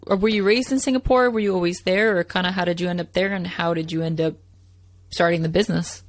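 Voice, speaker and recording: A woman hosting a podcast, upbeat and chatty, full of "like" and "right". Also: fairly low pitch. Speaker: podcast host. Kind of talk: casual podcast interview.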